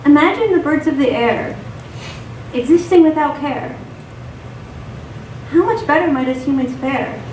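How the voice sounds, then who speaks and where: A woman reading scripted poetry and stories in a measured, recited delivery for radio theatre. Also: high-pitched, darkish tone. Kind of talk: scripted poetry and story narration. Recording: distant echoey stage recording, noisy.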